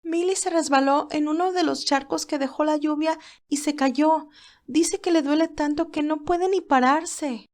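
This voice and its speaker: Woman putting on a frantic high-pitched little girl. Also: steady tone, unhurried, darkish tone. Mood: alarmed. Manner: urgent, childlike. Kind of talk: audio storybook character dialogue.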